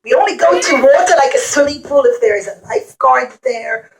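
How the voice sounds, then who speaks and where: A woman's voice: high voice, expressive, a little fast, gravelly, echoey room. A woman telling a story to young children as a puppeteer, explaining things simply and with repetition.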